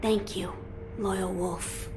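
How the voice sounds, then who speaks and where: A woman voicing a young noble boy heir in a video game, speaking formally and earnestly, with measured words. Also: medium pitch, steady tone, loud music behind the voice. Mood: solemn, earnest. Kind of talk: scripted video game cutscene acting.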